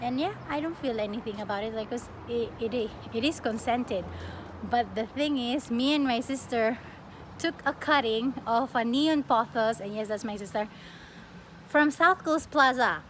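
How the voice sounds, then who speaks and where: A young woman from the Philippines chatting casually as a plant vlogger, expressive and often breaking off mid-sentence. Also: a little high, moderate pace. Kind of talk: casual get-to-know-me question-and-answer chat. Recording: clearly noisy home recording.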